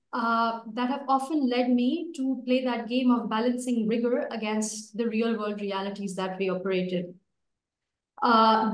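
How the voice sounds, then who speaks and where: A woman in her thirties, a native Urdu speaker, giving a prepared debate speech over a video call in a steady, list-like way. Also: a little high. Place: home room. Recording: echoey video call from far off.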